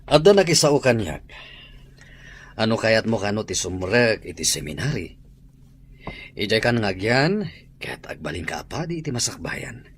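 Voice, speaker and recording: A man's voice: fairly low pitch, big pitch swings, moderate pace, pauses often, slight background noise. A man playing a solemn, persuasive school principal in a radio drama, grave and authoritative.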